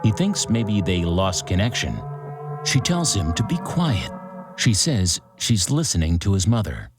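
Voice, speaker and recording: An actor playing a theatrical true-crime show host, narrating in short, deliberate sentences with suspenseful pauses. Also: male voice, low voice, very animated. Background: music.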